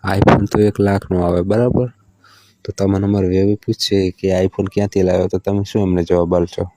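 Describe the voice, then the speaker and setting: A man's voice: low-pitched, little pitch movement, normal speed, warm tone, dry recording, close to an everyday voice. A man with a Gujarati accent playing a hard-up village lover who stalls, placates and bargains in a casual phone conversation. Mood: stalling, teasing.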